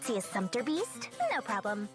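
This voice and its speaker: A woman voicing a greedy, chirpy little merchant girl with a sing-song sales pitch. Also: expressive, normal speed, music in the background, close to an everyday voice. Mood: salesy.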